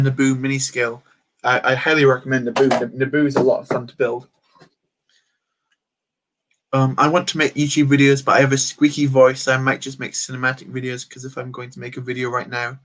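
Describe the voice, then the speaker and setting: A man's voice: mid-range voice, level tone, brisk, fairly bright tone. A man hosting a casual livestream, rambling and answering chat comments while he builds. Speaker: livestream host.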